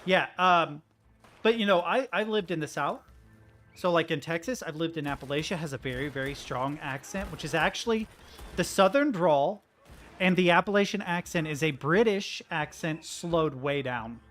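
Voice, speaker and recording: A man's voice: fairly high pitch, lively intonation, moderate pace, a bit bright, faint music behind. American man in rambling gaming chat. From United States. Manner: rambling, laughs often, trails off mid-sentence. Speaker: streamer.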